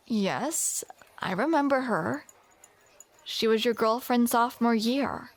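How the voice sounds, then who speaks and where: A woman's voice: fairly high pitch, slow. A woman performing a scripted romantic audio roleplay, with playful, teasing banter, close to the microphone.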